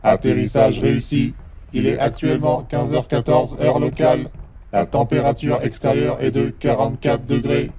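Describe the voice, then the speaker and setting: Guy playing spaceship ai, male, bit of fry in the voice, polite formal announcements, loud busy background. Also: medium pitch, steady tone, normal speed, highs cut off, a loud crowd, close to an everyday voice. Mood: formal, even. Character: polite, formal shipboard artificial intelligence, male. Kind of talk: audio drama acting.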